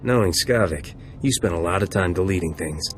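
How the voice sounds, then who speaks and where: A man plays a gruff space smuggler captain in a video game. His very deep voice fires off terse, wry lines quickly, with music underneath. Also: close to an everyday voice. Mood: hard, businesslike. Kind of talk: video game dialogue acting.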